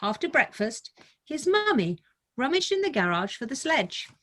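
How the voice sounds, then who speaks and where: A woman's voice: sits high, sing-song, moderate pace, a bit bright. Middle-aged woman telling a children's story. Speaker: guest author reading her children's book. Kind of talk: casual storytelling, then read-aloud of a picture book.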